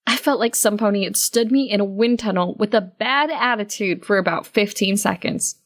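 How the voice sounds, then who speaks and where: A woman's voice: mid-range voice, normal speed. A woman reading first-person fiction as narrator, in a wry, conversational manner.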